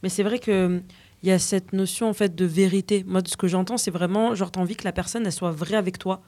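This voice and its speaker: A woman's voice: lowish voice, fairly even intonation, fast, warm tone. An adult woman hosting a casual talk with teenagers, asking questions hesitantly and rephrasing as she goes. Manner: hesitant, reflective, reformulating. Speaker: host. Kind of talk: casual discussion.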